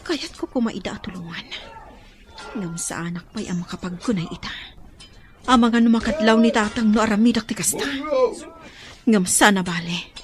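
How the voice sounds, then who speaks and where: An actress with a Filipino accent playing a chief's worried daughter, musing aloud in a radio drama. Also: female voice, medium pitch, close to an everyday voice. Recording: loud music behind the voice. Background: music.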